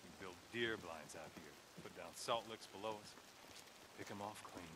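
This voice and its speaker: An actor playing a shrewd survivalist camp leader in a video game, speaking in a folksy, clipped, deliberate way. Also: male voice, low-pitched, close to an everyday voice. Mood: nostalgic, bitter. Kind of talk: video game cutscene acting. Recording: rain and ambient noise behind.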